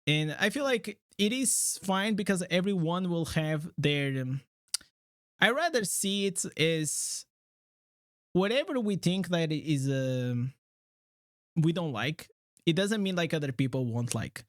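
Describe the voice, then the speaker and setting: A man from Portugal with a Portuguese accent, hosting a casual livestream chat in a halting way, full of fillers and restarts. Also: a little high, lively intonation, a bit bright, no echo, studio quality. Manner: halting, many fillers and restarts, laughs often. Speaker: streamer host.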